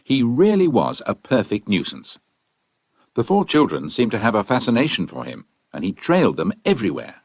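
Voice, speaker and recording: A man's voice: on the low side, huge pitch swings, moderate pace, no echo, studio quality. A man reading scripted audiobook narration as a storyteller.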